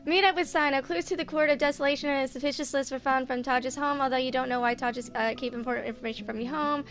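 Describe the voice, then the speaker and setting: Bright, high-voiced young woman gaming. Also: steady tone, fast talker. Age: 20s. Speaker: gaming streamer. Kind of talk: casual gameplay commentary, reading game text aloud. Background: game music.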